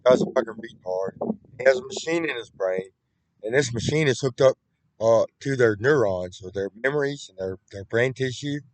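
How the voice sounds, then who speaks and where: A man's voice: mid-range voice, normal speed, rough recording. A man talking in a rambling, repetitive solo monologue, with frequent restarts, recorded on a computer webcam.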